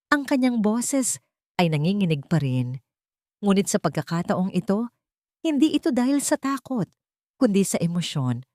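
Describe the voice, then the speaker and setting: A woman narrating a sentimental drama from a script in a measured, solemn storytelling manner. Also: lowish voice, warm tone, dry recording, studio quality.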